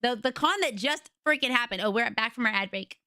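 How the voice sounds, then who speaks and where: A young woman streamer with a raspy voice, chatting casually and rambling to her viewers from home. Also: fairly high pitch, fairly quick, sharp tone, no echo, studio quality. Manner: chatty, rambling, retells conversations.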